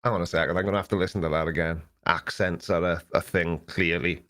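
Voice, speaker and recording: A man's voice: low-pitched, fairly even intonation, quick pace, a bit bright. Middle-aged man, sarcastic, rambling, self-mocking, casual live chat. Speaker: live stream host.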